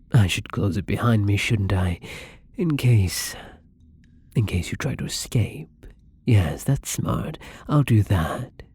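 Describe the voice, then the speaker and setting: A man playing a shy, gentle, newly turned vampire in an intimate ASMR roleplay, speaking softly and haltingly in a breathy voice that often slips into whispers. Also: fairly low pitch, no room sound, heavily acted. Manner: hesitant, halting, polite, soft-spoken.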